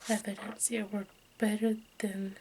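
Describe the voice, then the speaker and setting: A woman reading aloud in a breathy whisper for ASMR, haltingly and with small stumbles over the words. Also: mid-range voice. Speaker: host of an ASMR channel. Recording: steady background noise, room ambience.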